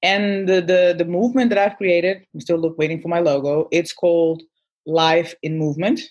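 Woman, native Portuguese speaker, husky voice, lowish pitch, animated casual chat. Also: moderate pace, dull audio. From Angola. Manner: animated, self-deprecating, restarts often. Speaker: guest coach. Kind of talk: casual conversation.

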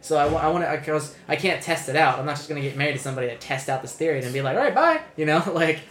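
A man's voice: a little high, expressive, rapid-fire, fairly bright tone, reverberant. A young man, talking candidly and rambling as a podcast host, with lots of restarts and swearing. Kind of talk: casual podcast chat.

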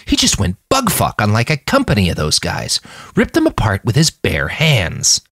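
A man's voice: fairly low pitch, huge pitch swings, moderate pace, fairly bright tone, no echo, clean studio sound, heavily acted. A man voicing a blunt, exasperated, foul-mouthed young woman soldier in an audiobook.

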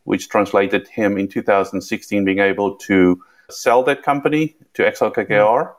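Deep-voiced South African man. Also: fairly even intonation. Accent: South African, somewhat Americanized with a British touch. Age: middle-aged. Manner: measured, repeats words when starting phrases. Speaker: guest, tech executive. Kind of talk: podcast interview.

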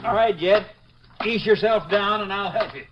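Man playing high-voiced folksy western sheriff. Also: normal speed, highs cut off, natural voice. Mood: tense, wary. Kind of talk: 1950s radio western acting. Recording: noisy, clicking 1950s radio recording.

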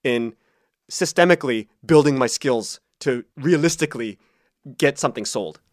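A man's voice: mid-range voice, expressive, normal speed, dry recording, clean studio sound. A man speaking as an interview guest, telling personal stories conversationally and casually, acting out quotes as he goes. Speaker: interview guest, podcast creator and writer.

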